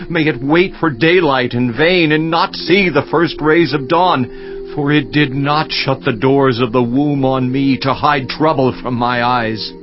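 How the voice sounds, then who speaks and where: Guy doing an anguished bible sufferer, slightly high voice, real slow mournful delivery, theatrical. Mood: bitter despair. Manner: mournful, measured, solemn declamation. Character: anguished, grieving Job cursing his birth. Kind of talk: dramatized scripture reading, theatrical. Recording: muffled audio with music underneath. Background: music.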